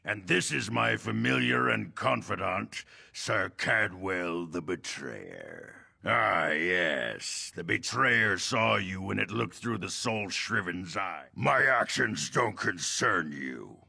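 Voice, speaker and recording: A man voicing a cold, imperious necromancer in a video game, raspy and formal, with a menacing manner. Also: deep voice, animated, takes it slowly, hardly any pauses, bright, forceful tone, heavily acted. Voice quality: gravelly, raspy. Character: sinister necromancer, queen's chief servant, cold and imperious. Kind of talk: video game character acting.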